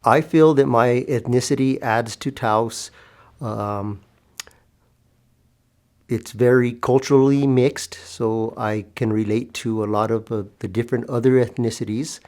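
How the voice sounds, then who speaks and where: A man from New Mexico speaking earnestly in an interview, often pausing with "uh.". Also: mid-range voice, steady tone, darkish tone. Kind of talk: documentary interview.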